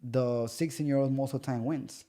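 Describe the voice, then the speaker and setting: A man, a fighter guest on a podcast, telling stories in a loose, animated way and acting out the voices of the people he quotes. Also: medium pitch, normal speed. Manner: loose, repetitive phrasing with many restarts. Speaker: pro MMA fighter guest. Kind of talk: casual podcast chat.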